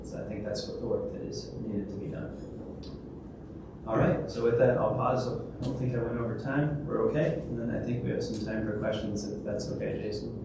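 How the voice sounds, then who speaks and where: Man, conference speaker, hesitant, flat delivery, big echoey room, background noise. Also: mid-range voice, fairly quick, vocal fry. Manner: hesitant, many fillers and restarts. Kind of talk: presentation and Q&A. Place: large event hall. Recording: echoey hall from a distance, noisy.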